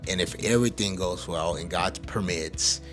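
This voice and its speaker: A man's voice: low-pitched, normal speed, a bit bright, faint music behind. A man from El Salvador hosting a podcast, speaking casually and motivationally in an earnest, emphatic way. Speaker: podcast host.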